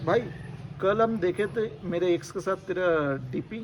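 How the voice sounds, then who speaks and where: A man's voice: high-pitched, moderate pace, natural voice. A young man acting a carefree, flippant boyfriend in casual amateur short-film dialogue. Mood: casual, insistent. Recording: noisy amateur recording, uneven distance from mic.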